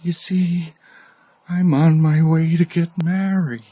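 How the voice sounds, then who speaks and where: An actor playing a tormented, guilt-ridden judge with halting, desperate delivery in a radio drama. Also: male voice, on the high side, flat delivery, mellow, highs cut off. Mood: dazed. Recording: noisy radio drama recording.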